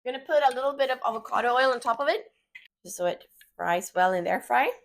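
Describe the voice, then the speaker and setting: A woman with a Swedish accent, whose first language is Swedish, hosts a casual cooking demonstration in her home kitchen. Her voice sits a little high, and she chats along easily, with plenty of fillers. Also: fast talker, creaky, slight background noise. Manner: relaxed, chatty, full of fillers. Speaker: cooking show host.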